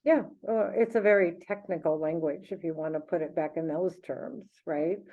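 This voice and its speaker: Woman co-host just chatting, reflective, lowish voice, sounds like a phone line. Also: moderate pace. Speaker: podcast co-host. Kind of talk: casual unscripted conversation. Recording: thin phone-like audio.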